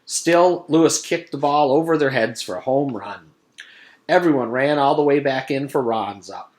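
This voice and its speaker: A man who reads a children's storybook aloud as a teacher would to a young class. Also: medium pitch. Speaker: teacher reading aloud to a third grade class. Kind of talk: animated children's storybook narration.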